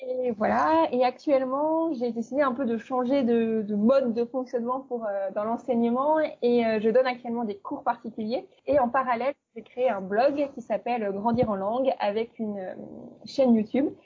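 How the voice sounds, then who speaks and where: A French woman with a slightly husky, fairly high voice talks as a guest, explaining at length about learning languages. She speaks casually, full of fillers and self-corrections. Her voice comes through a somewhat dull, lo-fi line. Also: moderate pace. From eastern France. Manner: chatty, many fillers and restarts. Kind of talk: casual interview talk.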